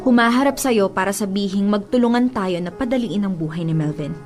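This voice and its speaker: A woman's voice: mid-range voice, fairly quick, darkish tone, lightly acted. Woman acting a defiant, jealous young ex-girlfriend, Filipino accent. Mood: firm, challenging. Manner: confrontational, emphatic, taunting. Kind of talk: radio drama acting in mixed Tagalog and English. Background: music.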